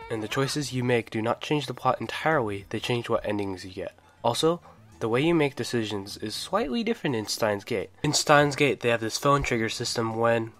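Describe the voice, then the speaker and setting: A man's voice: mid-range voice, fairly bright tone. A man reading a scripted video game review as an enthusiastic, opinionated fan.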